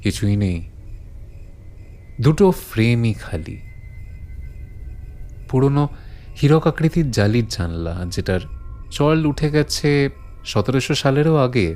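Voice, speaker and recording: A man narrating a horror audio story as a brooding storyteller, deliberate and suspenseful with dramatic pauses. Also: mid-range voice, lively intonation, a little mellow, faint music behind. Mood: grave, eerie. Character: brooding male storyteller recounting eerie legends to his friend.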